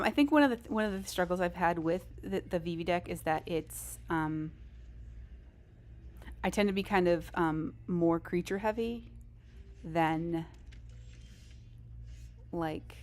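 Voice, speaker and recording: A woman's voice: on the low side, takes it slowly, long pauses. A woman live streamer chatting casually over a game, in a rambling, informal and self-deprecating way. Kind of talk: casual gaming stream chat.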